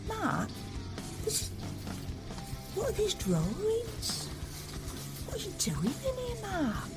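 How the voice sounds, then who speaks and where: A woman's voice: extremely high pitch, wildly swooping pitch, slow pace, over music, full character voice. A woman playing an anxious mother confronting her son in an audio drama, with growing fear. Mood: worried, alarmed.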